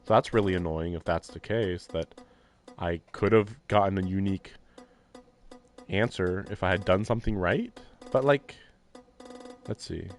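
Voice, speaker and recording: A man's voice: sits low, moderate pace, pauses often. A man streaming a visual novel, reading lines and thinking aloud with wry, self-deprecating asides. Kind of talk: casual let's-play commentary and reading. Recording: live stream with faint game music.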